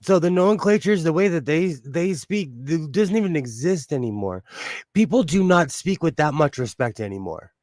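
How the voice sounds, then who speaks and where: Middle-aged male stream host, fairly high pitch, dry and close; easygoing banter full of laughter. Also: animated, a little mellow, dry recording. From Mexican-American family. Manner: chatty, laughs often, quick asides. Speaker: livestream host. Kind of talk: casual chat on a live stream.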